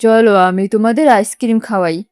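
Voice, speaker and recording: A woman's voice: a little high, fairly even intonation, a little fast, a little mellow, smooth, no room sound, studio quality, close to an everyday voice. A woman voicing a sly strange man coaxing children in a cartoon.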